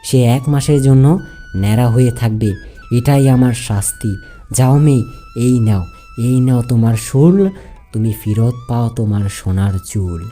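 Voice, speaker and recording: A man's voice: mid-range voice, steady tone, normal speed, mellow, faint music behind, voice pushed down, close to an everyday voice. A man voicing a stern but kindly magic tree spirit in a cartoon fairy tale, speaking solemnly and repeating phrases. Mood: moved, solemn.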